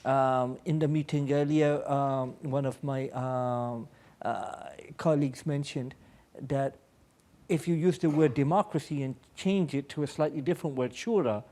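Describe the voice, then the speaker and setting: A man's voice: mid-range voice, unhurried, warm tone. A Pakistani-born American man speaking as an aid worker at a public panel, telling personal anecdotes in an earnest, emphatic way.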